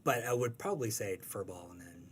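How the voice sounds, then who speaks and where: A man's voice: lowish voice, expressive, brisk, slight background noise. A man giving a casual, slangy and rambling interview as a guest talking about creative work. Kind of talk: casual conversation.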